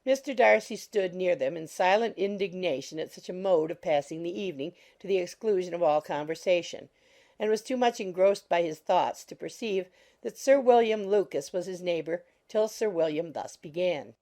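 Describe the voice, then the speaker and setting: Woman, lowish pitch, creaky voice, measured formal audiobook narration. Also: warm tone. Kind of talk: scripted literary narration.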